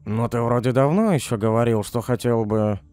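A man's voice: mid-range voice, normal speed, darkish tone, dry recording, lightly acted. A man voicing a polite, mild elderly animal character in a comic dub, courteous and formal. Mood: puzzled. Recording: faint music behind the voice.

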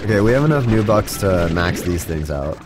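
Deep-voiced man streaming with a stuffy cold. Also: animated, fairly quick, background noise. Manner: rambling, talks to chat, self-interrupting restarts. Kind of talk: casual live-stream chat while gaming. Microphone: studio mic with compressor.

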